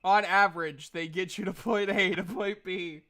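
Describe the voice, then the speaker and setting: A man hosting a tabletop role-playing session as game master, talking in a playful, animated, casual way with some swearing. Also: sits high, normal speed.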